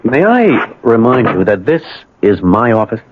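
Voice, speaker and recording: A man's voice: medium pitch, very animated, unhurried, noisy background. An actor playing a confused, proud middle-aged businessman, speaking in a hesitant, dramatic radio style. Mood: indignant. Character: confused, proud businessman losing his memory. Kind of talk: 1980 radio drama acting. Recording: muffled, narrow-band old radio recording.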